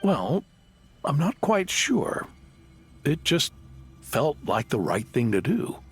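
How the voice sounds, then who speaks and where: A man playing a kindly male inventor and gentle mentor in a video game, explaining things patiently with some hesitance. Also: a little high, very animated, slow pace, soft background music, full character voice. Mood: hesitant, tender. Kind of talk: video game character acting.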